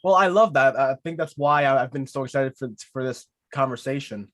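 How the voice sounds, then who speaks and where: A man's voice: mid-range voice, a little fast, a bit bright. A man hosting a podcast interview, chatty and playful, often restarting his sentences. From United States. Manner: playful, rambling, many restarts. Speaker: podcast host. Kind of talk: casual interview chat.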